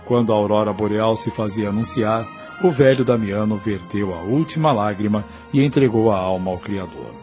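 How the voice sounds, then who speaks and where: A man's voice: lowish voice, normal speed, hardly any pauses, a little mellow, faint music behind. A man with a Brazilian accent giving dramatic, solemn, literary narration of an audio novel.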